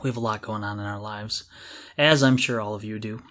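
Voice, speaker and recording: A man's voice: lowish voice, steady tone, a little fast, a bit bright. A middle-aged man co-hosting a podcast in casual chat, with a jokey, self-deprecating way of talking. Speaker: podcast co-host.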